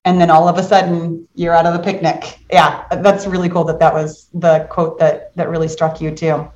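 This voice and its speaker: A middle-aged woman hosting a book club as a coach, chatting casually in an animated, encouraging and affirming way. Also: on the low side, moderate pace, lo-fi sound. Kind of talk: casual chat in a live online book club. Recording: roomy, echoing sound.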